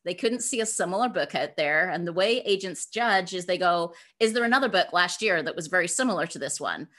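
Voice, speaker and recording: A woman speaking as a guest author in an interview, informal and emphatic, with animated conversational answers. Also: fairly low pitch, brisk. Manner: emphatic, informal, acts out imagined dialogue.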